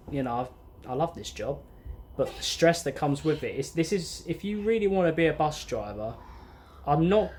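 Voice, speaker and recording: A man's voice: fairly high pitch, a little mellow. A twenty-year-old man, chatting off the cuff to camera in an informal, matter-of-fact, rambling way. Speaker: bus driver vlogger. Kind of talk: unscripted casual chat to camera. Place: inside an empty parked bus. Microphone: phone or camera at arm's length. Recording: clear ambient noise inside bus.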